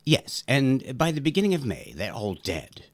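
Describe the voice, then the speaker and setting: A man's voice: medium pitch, big pitch swings, moderate pace, lightly acted. A man playing a grumpy, rude Easter Bunny. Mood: grumbling. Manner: sarcastic, exasperated, swooping voice. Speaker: interviewee in a comedy sketch. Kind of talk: improvised comedy interview.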